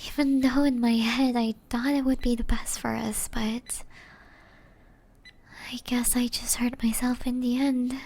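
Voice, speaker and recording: A young woman playing a tender, remorseful ex-girlfriend in an ASMR roleplay, whispering softly and intimately with breathy hesitation. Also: fairly high pitch.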